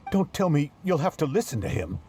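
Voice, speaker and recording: A loyal, blunt young theater friend, emphatic and sarcastic, with a voice that jumps lively up and down. Faint music plays behind him. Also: male voice, fairly high pitch, fairly quick. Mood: indignant. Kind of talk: video game voice acting.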